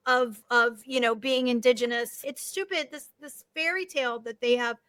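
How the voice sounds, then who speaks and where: High-voiced woman guest, intense and emphatic, dry close mic, from palestine. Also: moderate pace, clear, resonant tone, dry recording. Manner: forceful, emphatic, repeats words for emphasis. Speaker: guest, novelist and activist. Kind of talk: impassioned interview talk.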